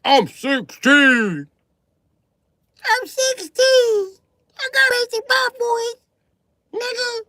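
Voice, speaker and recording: A man's voice: very high-pitched, sing-song, extremely slow, pauses often, pushed and bright, no room sound, studio quality, pitched above the performer's own voice, heavily acted. A man mimicking a bragging teenage boy with a whiny, mocking bravado. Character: squeaky teenage boy bragging about his deep voice.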